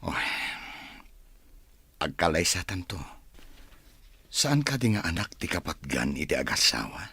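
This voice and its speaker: Man as earnest young husband, low husky voice, pleading, lovestruck, audio drama, dry recording. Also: very animated, unhurried, bright, forceful tone, dry recording, exaggerated voice. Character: earnest grown son and lovestruck husband wanting children.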